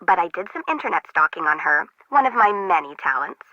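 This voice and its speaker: A woman's voice: lowish voice, very animated, a little fast, no echo, muffled recording. An actress playing a chatty, disorganized, slangy young female harbor worker in a video game, bubbly and wry. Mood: gossipy, wry.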